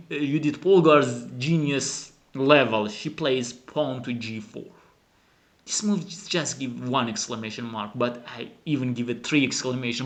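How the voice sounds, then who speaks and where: A man who is a non-native English speaker gives animated, emphatic chess commentary as a teacher, dramatizing the game. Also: medium pitch. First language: not English. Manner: dramatic, emphatic, acts out the players' thoughts. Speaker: chess teacher and channel host.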